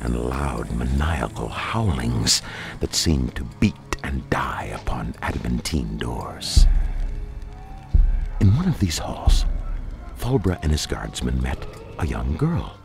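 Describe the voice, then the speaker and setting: A man giving a solemn, measured dramatic narration of dark fantasy fiction, as an audiobook reader. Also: extremely low pitch, big pitch swings, vocal fry, music in the background.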